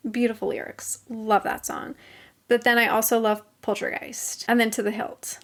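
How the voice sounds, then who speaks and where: Gushing young woman, a bit hoarse. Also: fairly high pitch, level tone, normal speed. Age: 20s. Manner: gushing, enthusiastic. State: slightly hoarse from long talking. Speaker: channel host. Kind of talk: casual music review vlog.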